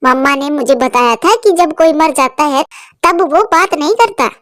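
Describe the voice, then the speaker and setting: A woman voicing a small, excitable boy bird in a cartoon story, speaking in a high, pleading, tearful voice. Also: normal speed, no room sound, clean studio sound. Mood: childlike.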